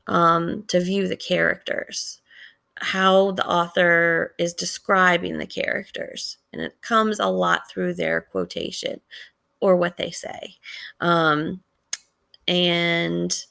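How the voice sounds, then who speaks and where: Female teacher, unhurried delivery with some creak, reading a story and explaining it with questions. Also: mid-range voice, fairly bright tone. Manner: measured, explanatory, pausing to question listeners. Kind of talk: read-aloud lesson with commentary and questions.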